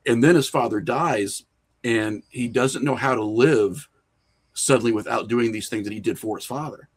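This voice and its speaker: A man's voice: medium pitch, normal speed. Middle-aged man, opinionated and rambling. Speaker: podcast guest, horror filmmaker and writer. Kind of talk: casual interview conversation.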